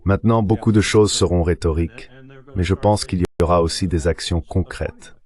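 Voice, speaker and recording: A man's voice: sits low, moderate pace, darkish tone. A man reading a translated voice-over of a political discussion.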